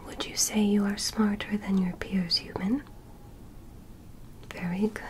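A young woman playing a calm, curious female alien medical examiner in an ASMR roleplay, in a soft, breathy whisper with a gentle clinical manner. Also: medium pitch, background noise, close to an everyday voice. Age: young adult. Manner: slow, gentle, clinical, politely instructing. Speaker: ASMR performer. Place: small bedroom set, indoors. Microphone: close microphone.

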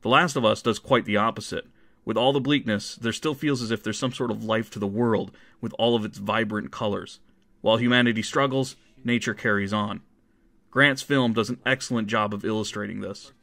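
Male narrator for scripted video essay: lower pitch, bright forceful tone, measured analytical read. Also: fairly even intonation, gravelly, dry recording.

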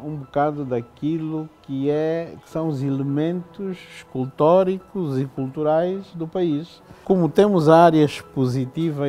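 A middle-aged man from Angola explains a building design in an interview, slowly and haltingly with many fillers, seated in an indoor hall on a lapel mic. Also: mid-range voice, dark tone.

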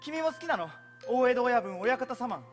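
A daring young lawyer speaks with boyish, dramatic self-assurance. His voice is high and swoops freely. Music plays clearly behind him in the theatre. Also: animated, normal speed. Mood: delighted. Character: cocky, daring young male undercover lawyer. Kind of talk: theatrical stage acting. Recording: theatre stage recording with music.

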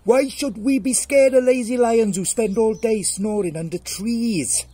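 A man's voice: sits high, sing-song, measured pace, mellow, breathy edge, pitched above the performer's own voice, full character voice. A man voicing a tiny, cheeky, boastful male mouse in a storybook fable. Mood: cocky, taunting. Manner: cocky, boastful, eager.

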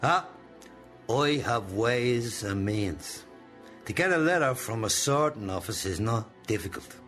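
An older Irish actor in a radio play, playing a controlling old boss with a deliberate, coaxing manner and benign menace. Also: male voice, on the low side, lively intonation, a bit bright, soft background music. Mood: quietly menacing. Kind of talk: radio drama acting.

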